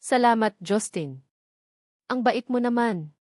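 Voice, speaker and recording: A woman voicing a gentle, reserved young woman who is an older cousin, speaking softly and politely in an audio drama. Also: a little high, animated, slow, no echo, clean studio sound. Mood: grateful.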